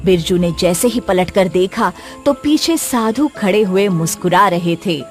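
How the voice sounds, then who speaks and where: A woman's voice: medium pitch, a little slow, faint music behind. A woman reading scripted narration as the storyteller of a tale.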